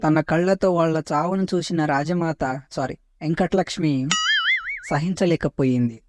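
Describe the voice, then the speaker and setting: High-voiced nagging cartoon underling played by a man. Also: moderate pace, over loud music, close to an everyday voice. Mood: explaining. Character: nagging, insistent cartoon underling who answers back. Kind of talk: animated parody comedy voice acting.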